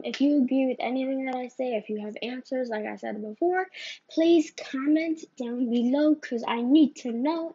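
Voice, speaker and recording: A woman vlogger ranting to the camera in a rambling way, often tacking on "right?" and "you know?", recorded on a phone. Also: high voice. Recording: slightly muffled phone recording.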